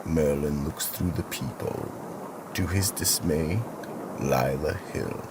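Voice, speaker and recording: A man with a gravelly, husky voice giving deliberate, solemn dramatic narration for a mystery radio play. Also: extremely low pitch, noisy background.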